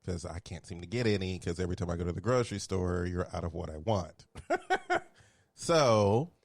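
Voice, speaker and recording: A man from Houston hosts a loose, casual podcast, laid-back and quick to laugh at his own jokes. His voice sits on the low side. It is recorded close and dry, with no room sound. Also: wildly swooping pitch, dry recording. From Houston, Texas. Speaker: podcast host. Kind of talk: casual chat.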